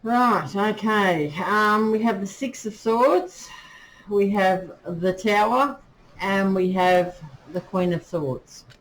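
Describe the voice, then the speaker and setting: A woman giving a casual tarot reading, chatty and full of fillers, pausing to ask her spirits and guides as she draws cards. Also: mid-range voice, poor audio. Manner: chatty, many fillers, talks to spirits while drawing cards. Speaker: tarot reader. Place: indoors with rain outside. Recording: faint rain noise behind.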